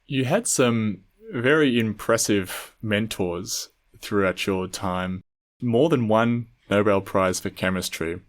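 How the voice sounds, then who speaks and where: A man with an Australian accent hosting a podcast, reading a formal introduction and asking measured interview questions. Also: lowish voice, dry recording, clean studio sound. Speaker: podcast host.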